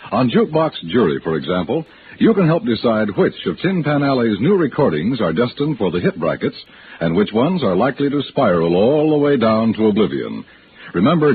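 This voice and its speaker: An American man announcing in the polished scripted style of a 1950s radio broadcast. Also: fairly low pitch. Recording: muffled old radio recording with faint noise.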